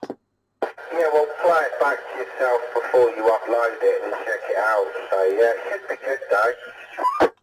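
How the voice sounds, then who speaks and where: A man's voice: mid-range voice, wildly swooping pitch, measured pace. A man chatting casually as an operator over a CB radio transmission. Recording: noisy CB radio heard through speaker.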